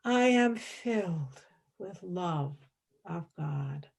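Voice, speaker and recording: A woman's voice: on the low side, expressive, very slow, vocal fry, darkish tone, breathy edge, full character voice. An actress playing a fervent, devout woman philosopher and mystic in a radio play, speaking in intense, formal, reverent declamation. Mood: ecstatic, intense. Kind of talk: radio play acting, monologue and dialogue.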